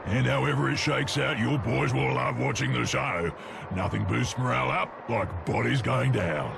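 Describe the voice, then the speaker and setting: An actor voicing a boisterous, bloodthirsty orc for a video game, gravelly, with a British working-class accent and chummy slangy talk. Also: male voice, medium pitch, moderate pace. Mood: chummy relish. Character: boisterous, bloodthirsty orc who loves drink and pit fights. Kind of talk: video game character acting. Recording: loud background noise.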